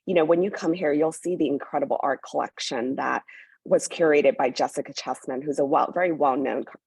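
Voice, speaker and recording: An eager woman from New York talks up a hotel in an interview, full of fillers. Her voice sits low and sounds husky. The recording is dry and close. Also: a little fast, darkish tone, no room sound. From born in Hong Kong, raised in New York. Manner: enthusiastic, many fillers. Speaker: hotel representative. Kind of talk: promotional interview.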